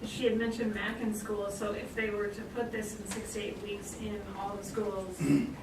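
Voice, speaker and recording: A woman puts practical questions at a public meeting, plain and direct. Her pitch is average. She sounds far from the microphone amid clear noise. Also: little pitch movement, normal speed. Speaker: school board member. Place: indoor meeting room. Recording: roomy, noisy, distant meeting recording.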